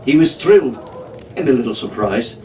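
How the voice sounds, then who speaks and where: A man narrates an adventure story in a theatrical pirate-captain manner. His voice sits at a middle pitch. It comes from a little way off the mic in an echoey room, with faint noise underneath. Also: lively intonation, moderate pace, darkish tone, distant mic. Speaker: storyteller reading an adventure novel aloud.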